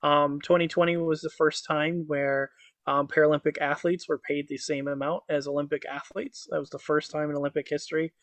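A fairly high-pitched man in his thirties, originally from Croatia, chats as a guest over a webcam video call. He sounds upbeat and talks fluently, scattering "um" and "you know" through his answers. The sound is close and dry, with no room echo. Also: flat delivery, brisk, a bit bright. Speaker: guest, motivational speaker. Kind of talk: casual interview chat. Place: indoor home office with virtual backdrop.

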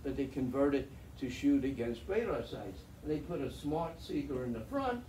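A man's voice: mid-range voice, very animated, moderate pace, warm tone. An older man giving a slide talk as a veteran pilot, wry and chatty, heard from a far camera in a meeting room. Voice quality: chatty, wry storyteller with restarts. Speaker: guest speaker, veteran pilot. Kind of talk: slide lecture to an audience. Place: meeting room with projector screen. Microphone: far camera in the audience. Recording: roomy, distant and faintly noisy.

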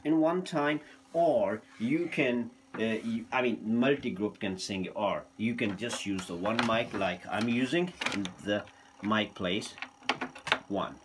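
A man presenting an informal hands-on unboxing in a hesitant, halting way, speaking non-native English in a room at home. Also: on the low side. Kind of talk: informal hands-on product demonstration. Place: small room at home, indoors. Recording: noticeable background noise, handling clicks.

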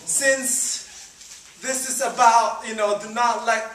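A man's voice: extremely high pitch, fairly even intonation, slow pace, fairly bright tone, slight background noise. A man in his twenties from the Philippines giving a chatty, upbeat church talk, with many fillers. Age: 20s. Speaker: student ministry leader. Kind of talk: informal sermon talk. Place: church hall stage. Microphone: far camera, no mic seen. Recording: roomy hall sound from a distance.

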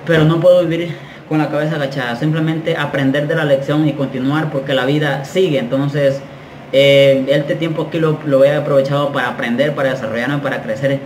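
A man's voice: fairly high pitch, fairly even intonation, brisk, darkish tone. A man in his thirties telling his story to camera in a casual, slangy, frank way, gesturing a lot as he talks in a small bare tiled room. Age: 30s. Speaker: vlogger. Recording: echoey room, faint background noise.

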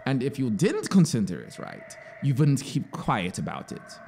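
A man's voice: medium pitch, wildly swooping pitch, normal speed, darkish tone, slight background noise. A man playing a zealous, scheming penal colony officer in a story, emphatic and persuasive. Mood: confiding, suspicious. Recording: clear background synthesizer ambience.

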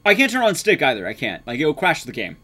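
A man's voice: a little high, huge pitch swings, quick pace, sharp tone. A young man giving casual live game commentary as a speedrun streamer, self-deprecating and swearing casually. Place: small indoor streaming room. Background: game music.

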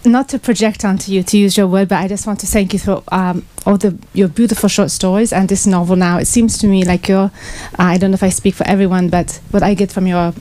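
A woman's voice: mid-range voice, steady tone, quick pace, hardly any pauses, mellow. A woman in an audience asking a question live, choosing her words hesitantly and earnestly. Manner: hesitant, earnest, careful phrasing. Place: small crowded bookshop, indoors. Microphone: passed handheld microphone.

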